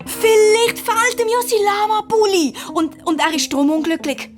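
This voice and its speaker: Excitable, animated schoolgirl detective. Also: extremely high pitch, unhurried, music in the background. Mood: amused, excited. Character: eager schoolgirl twin detective, itchy and excitable. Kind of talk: children's detective audio drama.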